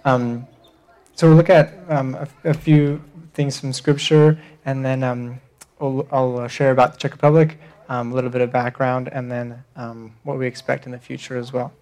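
A man's voice: medium pitch, level tone, normal speed, darkish tone. A young man speaking as a visiting missionary at church, earnest and hesitant, with frequent ums and restarts. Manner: earnest, hesitant with many fillers.

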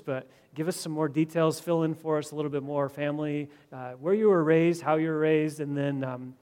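A man, a pastor, hosting a question-and-answer time at his church, talking in a halting way with many "uh"s. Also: fairly high pitch, a little mellow. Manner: hesitant, filler-heavy speech. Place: church fellowship hall.

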